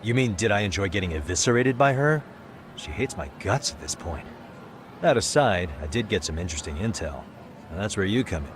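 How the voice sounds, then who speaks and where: A man's voice: lowish voice, expressive, fairly quick, a little hiss or hum, lightly acted. An actor playing a composed, wry male investigator in a video game, with a casual, dry, self-assured delivery. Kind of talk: video game dramatic acting.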